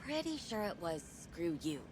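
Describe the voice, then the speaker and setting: A woman's voice: fairly low pitch, big pitch swings, moderate pace, a loud crowd. A woman playing a determined, wry and blunt tech scientist in a video game. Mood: sardonic. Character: dry, determined female tech scientist and granddaughter. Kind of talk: scripted video game acting. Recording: loud music under the voice.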